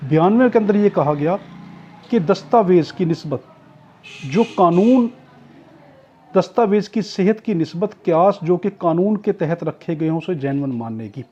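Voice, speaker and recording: A man's voice: high-pitched, expressive, normal speed, mellow, slight background noise. A man with a Pakistani accent giving an explanatory law lecture as a tutor, mixing in English terms, repeating points and answering his own questions. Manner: didactic, repetitive, asks and answers own questions. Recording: dull, muffled lo-fi audio.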